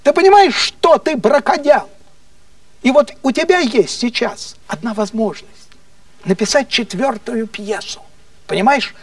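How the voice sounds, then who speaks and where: Мужчина изображает слегка пьяного советского партийного начальника, который покровительственно и насмешливо угрожает, повторяясь и переспрашивая. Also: male voice, very high voice, animated, measured pace, no room sound, distant mic, exaggerated voice. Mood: mocking reproach. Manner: patronizing, repetitive, insinuating questions. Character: menacing, mocking Soviet party official, slightly drunk.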